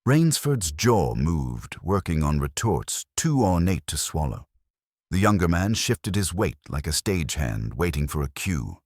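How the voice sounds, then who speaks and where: A man with an extremely low voice narrates a detective story in the first person. His wry, scripted reading has lively intonation and a dry, close sound. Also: measured pace, dry recording. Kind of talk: scripted noir mystery narration.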